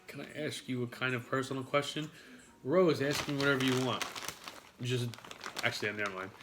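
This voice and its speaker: A man's voice: medium pitch, normal speed, background noise. A man with a New York accent eating on a live stream, rambling to his chat with frequent swearing and jokes. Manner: rambling, profane, jokey with chat.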